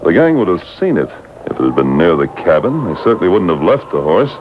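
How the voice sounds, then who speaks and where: An actor playing a steady, commanding Mountie sergeant, clipped and deliberate, in old-time radio drama style. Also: male voice. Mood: reasoning. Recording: tinny, noisy boombox radio recording.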